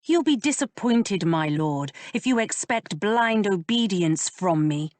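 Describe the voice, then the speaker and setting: A woman voicing a quick-tempered, sharp-tongued young lady who speaks in a tart, exasperated way. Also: mid-range voice, expressive, no room sound, voice pushed up, lightly acted.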